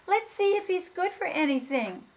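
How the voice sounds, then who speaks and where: A woman doing shrill mocking kids. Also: expressive, normal speed, poor audio. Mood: taunting. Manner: shrill, shouting commands. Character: group of taunting young children.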